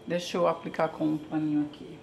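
A woman's voice: sits low, flat delivery, a little fast, creaky, darkish tone. An older woman from Brazil casually narrating a home how-to, chatty and thinking aloud while she works in her kitchen. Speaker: home vlogger. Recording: echoey kitchen, faint background hiss.

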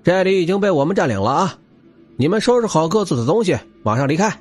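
A man's voice: sits high, sing-song, quick pace, faint music behind. A man voicing a cold, threatening thug in an audiobook, with a sneering, dismissive manner.

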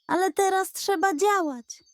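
A plucky little boy rabbit from a children's storybook, played by a woman. The voice sits high, raised well up, and sounds wise and sure as he takes charge. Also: unhurried, dry recording. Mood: urgent, commanding.

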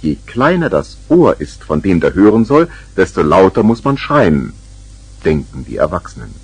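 An actor as the wry, matter-of-fact narrator of a 1970s children's radio play, with a husky voice. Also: male voice, deep voice, wildly swooping pitch, moderate pace, darkish tone, dry recording, full character voice. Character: wry storyteller of a children's tale.

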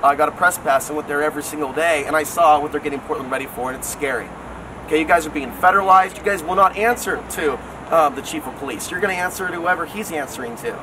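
A man talks quickly and insistently about politics out on a city street at night. His voice sits a little high and his delivery is earnest and argumentative. Traffic noise is clear around him on the handheld camera recording. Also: recorded from a distance. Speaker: city council candidate and local TV show host. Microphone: handheld camera a step or two away. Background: city street ambience and vehicles.

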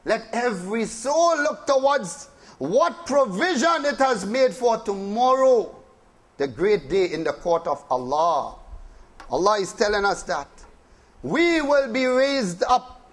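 High-voiced impassioned male preacher. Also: sing-song. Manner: impassioned, rhetorical questions, slow dramatic repetition; recites Arabic verses in a rapid chant. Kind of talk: Islamic sermon.

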